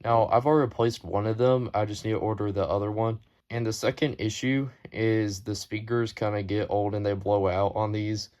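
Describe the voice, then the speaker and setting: A man with a fairly low voice talks through a hobby collection in an informal video. His delivery is matter-of-fact and unscripted, like someone casually showing items one after another. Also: fairly even intonation, moderate pace, hardly any pauses, a little mellow. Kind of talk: casual show-and-tell narration.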